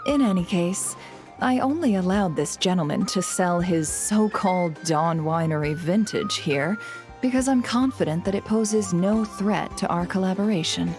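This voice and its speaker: A woman playing a composed, diplomatic guild leader in a video game, measured and courteous in a formal way. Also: fairly low pitch, animated. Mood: poised, diplomatic. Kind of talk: video game dialogue acting. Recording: clear music behind.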